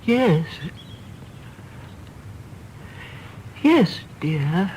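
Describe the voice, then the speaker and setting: An actress playing a gentle, concerned elderly English mother in a radio play, indulgent of her grown son. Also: lowish voice, very animated, drawn-out words, a little hiss or hum, full character voice. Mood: fond, indulgent. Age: older.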